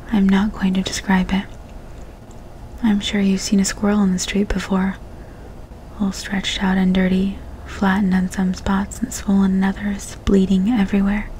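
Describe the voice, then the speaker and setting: A woman's voice: medium pitch, a little hiss or hum, low-quality recording. Woman whispering a horror story. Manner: soft unvoiced whisper throughout. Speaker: narrator.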